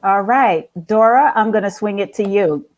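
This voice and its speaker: A woman's voice: mid-range voice, moderate pace, a little mellow. A woman hosting a virtual panel discussion in a chatty, rambling way. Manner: chatty, rambling intros with fillers. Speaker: panel host.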